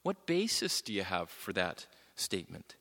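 A man leading a church class with a loud voice, talking loosely with many fillers and putting questions to the room. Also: deep voice, big pitch swings, normal speed, in a large hall. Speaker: class leader.

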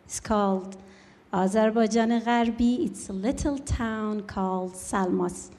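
A woman from Iran whose first language is Kurdish, speaking slow, careful English with a Kurdish accent as a guest on a church stage. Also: mid-range voice, dark tone. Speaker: church leader. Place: large church hall. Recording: echoey church hall.

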